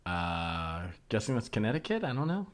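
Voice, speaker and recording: A man's voice: on the low side, huge pitch swings, normal speed. A man co-hosting a comedy podcast, chatting casually and reading out ads.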